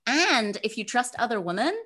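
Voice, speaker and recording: A middle-aged woman giving conversational interview answers as a guest author, animated and given to acting out quotes. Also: on the high side, moderate pace. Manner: animated, acts out imagined quotes.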